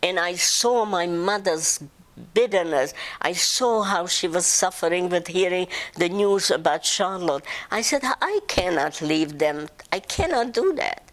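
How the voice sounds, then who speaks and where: An older woman from Czechoslovakia, speaking haltingly in an oral history interview. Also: on the low side, animated, poor audio. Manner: halting, many restarts and fillers. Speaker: interviewee, survivor recounting childhood.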